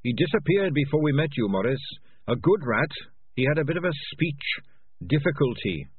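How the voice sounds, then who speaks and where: Man doing a gentle, high little male rat. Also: big pitch swings, moderate pace, slightly rough, dry recording, phone-quality sound. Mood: wistful. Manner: earnest, kindly. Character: small male talking rat, gentle, trusting idealist.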